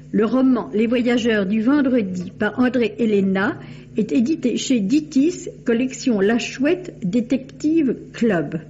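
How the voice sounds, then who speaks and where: A woman with a soft, mellow tone reads a literary book review in the manner of 1950s radio. She tells it in a measured, storytelling way. The old recording is dull, with faint hiss. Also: a little high.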